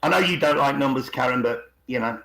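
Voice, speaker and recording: Man in an echoey room, halting talk. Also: medium pitch, gravelly, fairly bright tone. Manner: halting, repeats words, asks for agreement. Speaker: podcast host. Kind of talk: rambling conspiracy talk with reading aloud. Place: online video call. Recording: roomy, echoey video call.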